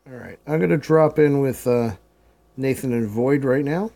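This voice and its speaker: A man getting over a cold, hoarse and often clearing his throat, giving casual commentary while streaming a game. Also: medium pitch, moderate pace, dark tone. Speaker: gaming live streamer.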